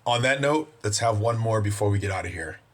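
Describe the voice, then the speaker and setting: A man's voice: on the low side, expressive, brisk, slight background noise. A man hosting his own vlog, chatting casually and upbeat with his viewers, rambling and often saying "you know". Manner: upbeat, thankful to his audience. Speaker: vlog host.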